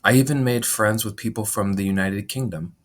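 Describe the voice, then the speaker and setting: Man, 30s, from Minnesota; slightly low voice, measured memoir read, occasional throat clearing. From Minnesota, USA. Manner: measured, steady reading with frequent throat clearing. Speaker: memoir narrator. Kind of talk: reading a personal memoir aloud.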